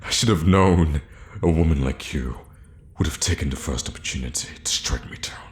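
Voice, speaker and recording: Man playing a very deep-voiced, scheming royal guard. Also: roomy sound. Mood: alarmed, betrayed. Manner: theatrical, hushed, measured, with sighs and pointed pauses. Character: scheming, condescending royal guard, fiercely loyal to his queen. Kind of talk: intimate ASMR fantasy roleplay acting.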